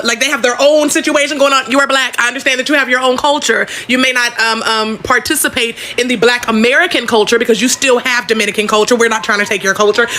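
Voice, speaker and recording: A speaker giving an animated casual vlog rant with emphatic bursts. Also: sits high, a little hiss or hum.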